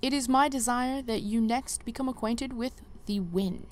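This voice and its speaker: A woman voicing a formal, wise guildmaster mentor from a fantasy game, reading the quest dialogue in a stately, measured way. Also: on the high side, lightly acted. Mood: solemn, encouraging. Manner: stately, measured, archaic phrasing.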